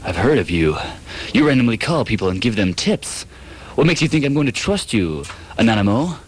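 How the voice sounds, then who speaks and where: A man playing a pompous, dim-witted, short-tempered private detective in a comedic radio drama, melodramatic, shifting between deadpan narration and ranting. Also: mid-range voice, brisk, breathy edge, low-quality recording. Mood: deadpan, smug.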